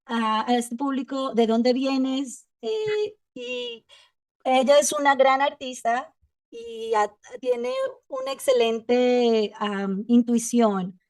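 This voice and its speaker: A woman hosting a podcast, welcoming and interviewing a guest, speaking at times haltingly with drawn-out pauses. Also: sits high, animated, a little mellow, no echo, far from the mic. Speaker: podcast host.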